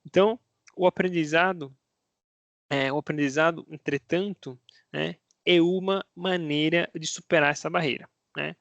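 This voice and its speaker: Brazilian guy teaching spanish on his channel, kinda high voice w lots of fry, chatty, keeps asking "right?". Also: steady tone, moderate pace, fairly bright tone, no room sound, highs cut off. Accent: Brazilian Portuguese. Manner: conversational, asks himself rhetorical questions, tags lines with "né?". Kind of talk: casual explanatory video talk to viewers.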